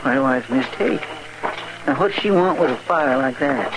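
A man acting an earnest western deputy with a rural American drawl. He is simple, respectful and hesitant, in a middle-pitched voice. The old radio drama recording is thick with noise. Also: expressive, normal speed, lightly acted. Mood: puzzled. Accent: American, folksy rural drawl. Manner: folksy, hesitant, deferential.

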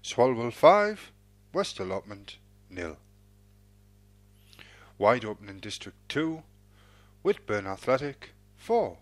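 A man reading out football results as an announcer, in a measured, formulaic sing-song cadence. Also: medium pitch, very animated, no room sound.

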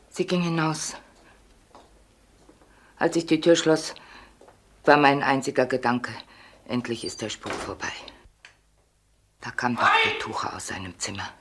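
An actress playing an agitated, protective housekeeper who pleads and confides in a German crime radio play. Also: female voice, a bass voice, a little slow, long pauses, a bit bright. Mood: subdued, recounting. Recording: noisy old radio play recording.

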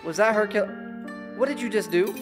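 A man in his twenties giving casual, excited reaction commentary in slangy, playful language. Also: high-pitched, fairly quick. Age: 20s. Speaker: reaction video host. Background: music and game trailer audio.

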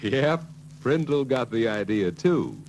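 A man's voice: medium pitch, very animated, slow. A man narrating a 1940s sales training film in a scripted storytelling style. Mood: brisk storytelling. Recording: noisy, humming 1940s film soundtrack.

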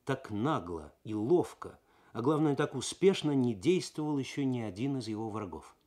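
A man's voice: mid-range voice, moderate pace. A man reading a detective story expressively, in the dramatic literary style of 1970s Soviet radio. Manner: expressive, actorly, suspenseful. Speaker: narrator, reader.

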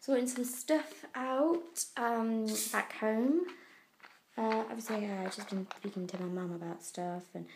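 A young woman from the UK with a croaky voice, rambling through a casual video diary with lots of fillers. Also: fairly high pitch, unhurried, background noise. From United Kingdom. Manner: rambling, chatty, many fillers and trailing asides. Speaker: vlogger. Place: indoors, abroad in Italy. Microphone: handheld phone camera.

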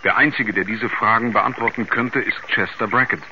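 Sober case narrator played by a man, measured and questioning, dull noisy vintage radio play sound. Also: on the low side, fairly even intonation, close to an everyday voice. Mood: sober, grave. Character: sober male investigator narrating a mysterious case. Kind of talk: scripted documentary-style radio play narration. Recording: dull 1970s radio recording, clear background noise.

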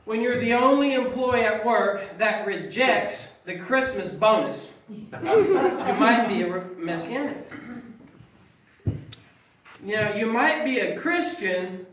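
A middle-aged man tells stand-up stories in a folksy way and gets loud at the punchlines, his voice sitting fairly high. He is picked up from far across a small hall, so the sound is very roomy and echoey. A live audience laughs and murmurs around him. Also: lively intonation, takes it slowly, long pauses, noisy background. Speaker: stand-up comedian. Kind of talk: stand-up comedy at a congregation event. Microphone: far camera, no mic.

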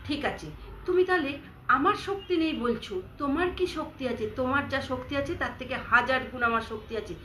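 A woman voicing a small, humble sewing needle who calmly argues her case in a storybook tale. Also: high voice, moderate pace, slight background noise. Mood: pleading. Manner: pleading, reasoning. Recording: echoey room.

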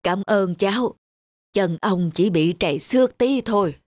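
A man's voice: sits high, a little slow, over loud music. A man voicing a weary old rice porter in a cartoon, polite, humble and plaintive. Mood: grateful, pained. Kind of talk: cartoon dubbing.

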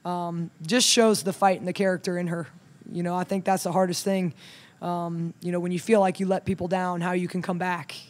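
A woman in her thirties, a head coach, talking through a game at a postgame press conference in a measured way, with many ums and restarts, into a table mic. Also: lowish voice, level tone, darkish tone. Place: press room in front of a logo backdrop. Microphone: table mic on a stand.

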